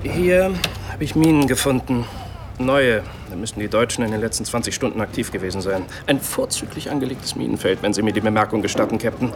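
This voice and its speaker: A man's voice: on the low side, a little fast, lightly acted. A man acting a supremely self-assured, erudite Iroquois army sergeant in a German radio play, speaking formally, politely and eloquently. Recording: clearly noisy outdoor ambience behind.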